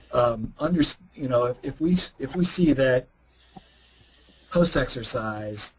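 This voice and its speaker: A hoarse man, a researcher, answering webinar questions in a measured, explanatory way. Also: medium pitch, rough recording.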